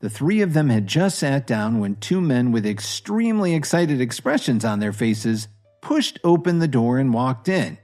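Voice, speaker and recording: A man's voice: medium pitch, sing-song, normal speed, a little mellow. A man reading scripted story narration for an audio series. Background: music.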